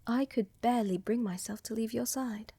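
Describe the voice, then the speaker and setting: Casting: woman to play a doting, flirtatious vampire countess for ASMR audio drama; mellow, whispery, gently affectionate. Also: on the high side, dry recording. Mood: tender, attentive. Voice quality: soft, breathy, often whispering. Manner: gentle, reassuring, unhurried, affectionate. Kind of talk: soft romantic ASMR roleplay. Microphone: close microphone, dry.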